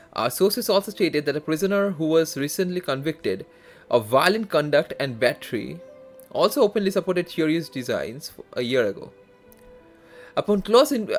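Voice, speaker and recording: A man live streaming a game, reading text aloud haltingly with self-corrections and casual asides. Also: fairly high pitch. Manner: halting, stumbles and corrects himself while reading. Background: faint game music.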